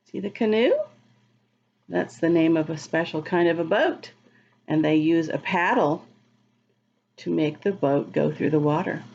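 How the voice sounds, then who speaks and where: A woman reading a picture book aloud to children in a teacherly, expressive, sing-song way, explaining and asking questions as she goes. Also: sits low, unhurried, gravelly, pauses often, highs cut off. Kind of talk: read-aloud storytime with asides to children.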